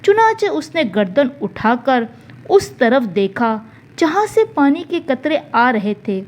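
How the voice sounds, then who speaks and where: A woman narrating a scripted moral tale in a measured, deliberate storytelling manner. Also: sits high, very animated, darkish tone.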